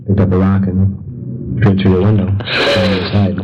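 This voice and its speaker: A man speaking as a police officer, asking questions and reporting in a plain, halting, procedural way. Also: low-pitched, completely flat delivery, a little mellow, husky. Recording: muffled, noisy old location recording.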